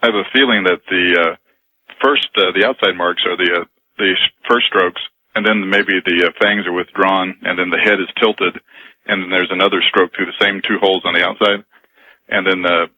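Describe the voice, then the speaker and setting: A man phoning a radio show, casually explaining his ideas in a dry, wry manner. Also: deep voice. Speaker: radio phone-in caller. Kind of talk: casual theorizing on a call-in show. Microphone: telephone. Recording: dull lo-fi phone call on radio.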